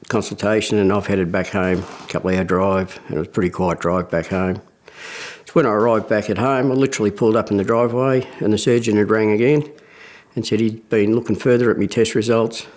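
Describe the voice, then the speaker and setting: Aussie guy in his fifties, lowish voice, talks plain with lots of ums. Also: a little mellow. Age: 50s. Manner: plain-spoken, halting with fillers. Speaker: patient sharing his story. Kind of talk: personal testimony.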